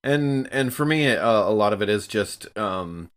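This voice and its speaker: A man chats casually as a player on a gaming podcast. His voice is deep, well below the usual male pitch. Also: expressive, a little slow, slight background noise. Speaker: player on an actual-play podcast. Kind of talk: casual chat.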